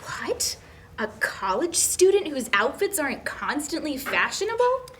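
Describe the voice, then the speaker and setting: A young woman reading the part of a meddling, self-assured college student at a script table read, brisk and teasing. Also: sits high, very animated, fairly bright tone, exaggerated voice. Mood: curious. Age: young adult. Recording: faint background noise.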